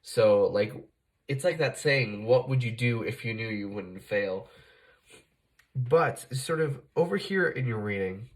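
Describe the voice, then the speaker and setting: A man hosting a tarot reading, speaking casually while fighting off a cold, often correcting himself and using fillers. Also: mid-range voice. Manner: conversational, self-correcting, many fillers. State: fighting off a cold, occasional cough. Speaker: tarot reader, channel host.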